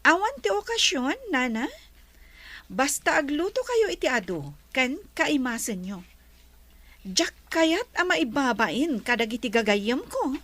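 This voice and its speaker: A haughty, wealthy young madam of a radio drama, giving orders in an Ilocano Filipino accent. Her voice is high and swings widely in pitch. She takes her time over each line. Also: very animated, unhurried, a bit bright, no room sound, full character voice. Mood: bossy. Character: wealthy bossy young mansion mistress, haughty.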